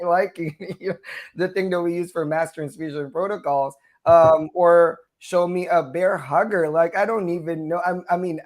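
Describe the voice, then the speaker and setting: A nurse from the Philippines with a Southern US twang, a man talking chattily as an interview guest, with frequent fillers and laughs between phrases. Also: high-pitched. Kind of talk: conversational interview.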